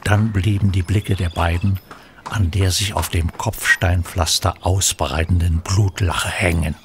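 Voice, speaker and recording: A man narrating a radio play from a script in a measured, sombre storytelling manner. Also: deep voice, fairly even intonation. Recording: clear background noise.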